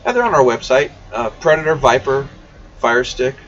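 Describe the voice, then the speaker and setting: Man, medium pitch, casual Q&A host, plainspoken, informal, muffled lo-fi sound. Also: sing-song, fairly quick, a bit bright. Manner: blunt, informal, addresses viewers by name, mild swearing. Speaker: CB radio shop livestream host. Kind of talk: casual livestream Q&A, reading viewer questions aloud. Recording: dull, distant mic, faint background noise.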